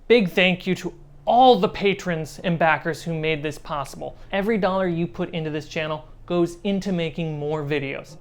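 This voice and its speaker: A woman with a low voice talks as a game master. She is casual and conversational, hosting a discussion after a tabletop session.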